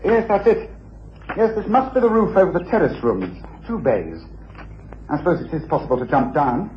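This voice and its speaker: A brisk British detective, composed and methodical. His voice is lively and a little high as he questions and reasons. The radio drama sounds muffled, with faint hiss. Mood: intent, puzzled. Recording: muffled, hissy old radio recording.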